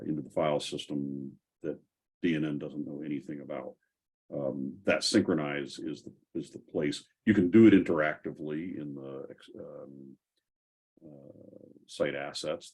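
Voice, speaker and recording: Very deep, gravelly man explaining tech. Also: a little mellow. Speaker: host of a software community call. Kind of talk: casual technical discussion. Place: online group call.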